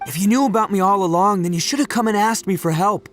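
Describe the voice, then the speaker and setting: A man's voice: high voice, fast, natural voice. A man voicing a brash, big-hearted young oni gang leader in a video game, in a boisterous, slangy, easygoing manner. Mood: sincere. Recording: music under the voice.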